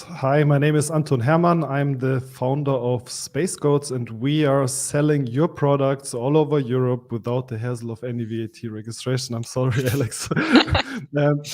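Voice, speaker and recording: A man's voice: medium pitch, darkish tone. A man, a company founder appearing as a guest, giving a brief, businesslike self-introduction.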